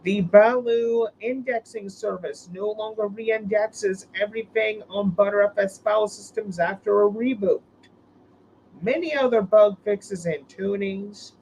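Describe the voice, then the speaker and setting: Hoarse man hosting a tech stream. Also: high-pitched, a little slow, darkish tone, slight background noise, poor audio. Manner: rambling, with restarts and fillers. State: hoarse from illness. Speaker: live stream host. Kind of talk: casual live stream chat.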